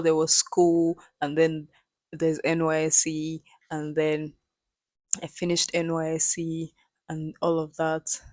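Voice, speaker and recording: A woman in her twenties, a podcast guest telling stories with lively, swearing-laced energy. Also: low voice, no room sound. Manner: animated storyteller, swears freely. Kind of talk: casual chat.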